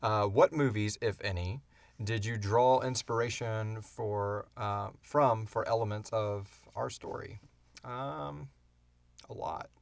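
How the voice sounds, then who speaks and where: A man's voice: fairly low pitch, slow pace, gravelly, dry recording. A man chatting casually as a podcast co-host, rambling along with enthusiasm as he answers questions. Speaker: creator and co-host of a fiction podcast. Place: small enclosed recording booth.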